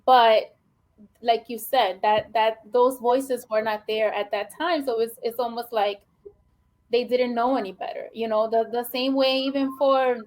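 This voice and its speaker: A woman's voice: fairly high pitch, fairly even intonation, measured pace, a little mellow. A woman from the Bronx with a New York Puerto Rican accent, a guest educator speaking earnestly and reflectively, filling her talk with "you know" and "like". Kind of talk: conversational interview.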